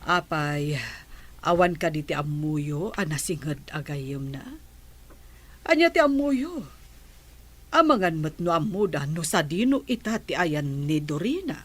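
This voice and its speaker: A woman's voice: deep voice, lively intonation, a little slow, long pauses. A woman acting a concerned, questioning family friend in an Ilocano radio drama.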